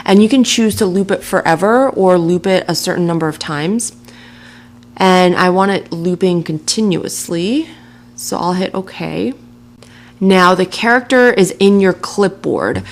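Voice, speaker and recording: Chatty woman with a lowish voice. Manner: casual and chatty, with light laughs. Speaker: software tutorial presenter. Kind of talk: screen-recording tutorial narration.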